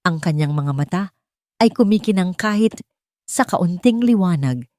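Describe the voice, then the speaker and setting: A woman's voice: on the low side, lively intonation, moderate pace, darkish tone, no echo, studio quality. A woman narrating an audiobook in a dramatic, scripted storytelling style.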